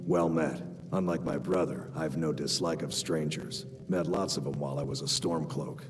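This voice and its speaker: An actor playing a plain-spoken rustic Nord war veteran in a video game, with folksy grammar. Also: male voice, very deep voice, normal speed. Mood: gruff, matter-of-fact. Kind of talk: video game character dialogue. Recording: long hall reverb, music behind.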